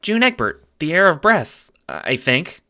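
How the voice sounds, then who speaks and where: A man's voice: on the high side, very animated, a little slow, pushed and bright, voice pushed up, exaggerated voice. A man voicing a bewildered, polite young girl in an audio drama, hesitant and stammering. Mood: eager, nervous. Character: June, bewildered, polite young girl waking without memories.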